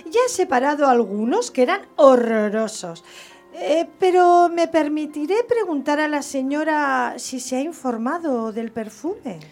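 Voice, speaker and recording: An actress playing a sly young maid in radio theatre, deferential and coy but worldly. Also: female voice, high-pitched, lively intonation, a little slow, a little mellow, faint music behind. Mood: coy, businesslike.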